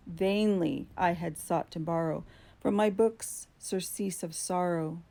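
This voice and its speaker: A woman giving a dramatic, deliberate recitation of gothic poetry, with drawn-out phrasing and strong emphasis. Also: fairly low pitch, vocal fry, warm tone. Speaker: poetry reader.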